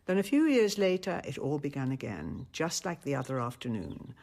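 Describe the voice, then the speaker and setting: A woman playing a shrewd, politic abbess in a radio drama, speaking in measured, stately phrases. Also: very deep voice, very animated, darkish tone, heavily acted. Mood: grave storytelling. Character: shrewd, worldly, politic abbess of a Renaissance convent.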